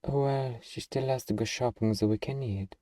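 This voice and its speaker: A man playing a gruff, defensive young zombie-apocalypse survivor with anxiety underneath, in an ASMR roleplay. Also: mid-range voice, steady tone, normal speed, warm tone, no echo, distant mic, close to an everyday voice. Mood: anxious, hesitant.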